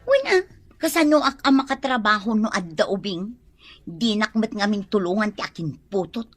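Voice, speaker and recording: A woman's voice: mid-range voice, very animated, normal speed, no echo, faint music behind. A woman playing a hard-pressed, defiant Filipino mother in a radio drama, defensive and complaining. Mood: bitter, complaining.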